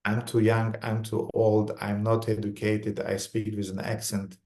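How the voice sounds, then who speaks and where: A man with a Romanian accent giving a religious lecture in short phrases, pausing for an interpreter. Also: fairly low pitch, flat delivery, darkish tone.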